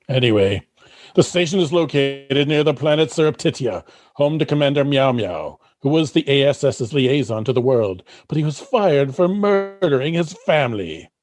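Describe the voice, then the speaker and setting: A man's voice: medium pitch, animated, moderate pace, darkish tone, no echo, soft background music. A man narrating a comic sci-fi radio drama in a dry, announcer-like manner.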